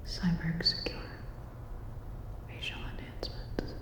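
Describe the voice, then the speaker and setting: A woman playing a calm, attentive cyberpunk robot-repair technician in a softly whispered, deliberate ASMR roleplay. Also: lightly acted. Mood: attentive, gentle. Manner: soft, deliberate, clinical reassurance. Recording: roomy reverberant sound, dull, faint noise.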